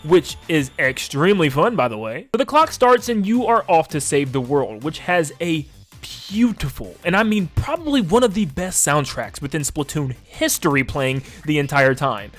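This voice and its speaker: A man giving enthusiastic video game retrospective commentary as a host, with emphatic, gushing delivery and drawn-out stressed words. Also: fairly high pitch, very animated. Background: game soundtrack music under the voice.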